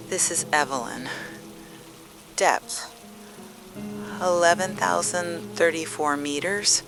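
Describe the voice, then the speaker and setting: A woman playing a desperate, awestruck deep-sea explorer sending a log in fragmented phrases in a radio drama. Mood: hushed. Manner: fragmented, very slow delivery.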